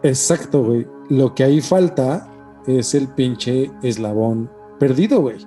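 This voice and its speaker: A man's voice: medium pitch, unhurried, warm tone. A man with a Mexican accent in casual podcast chat, slangy and emphatic, often repeating himself. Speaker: podcast co-host. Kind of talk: casual podcast chat about ancient mysteries. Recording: music behind the voice.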